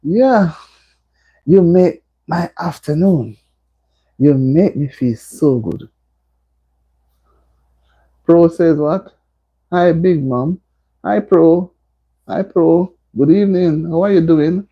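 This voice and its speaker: A woman's voice: on the low side, slow, long pauses, breathy edge, dull audio. A woman hosting a casual livestream from home, laughing and teasing as she reads viewer comments aloud. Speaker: livestream host. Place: indoors at home.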